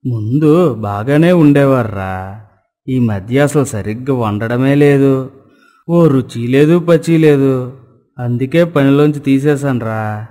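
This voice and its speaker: A gluttonous, fussy village landlord from a cartoon moral tale, acted by a man. His male voice sits at medium pitch and his manner is bossy and demanding. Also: takes it slowly, pauses often, dark tone, big-room sound, natural voice. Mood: dissatisfied. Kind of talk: cartoon story dialogue.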